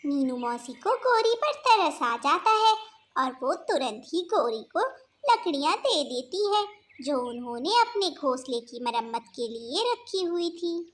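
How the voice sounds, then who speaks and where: A woman's voice: sits high, sing-song, unhurried. A woman giving scripted narration for a children's cartoon story.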